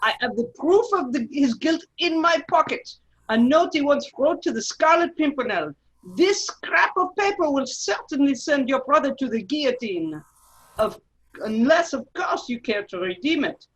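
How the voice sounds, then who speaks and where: A woman playing a menacing, coldly scheming male French agent in an amateur radio play read, insinuating and deliberate. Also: sits high, expressive, low-quality recording. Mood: menacing, smug.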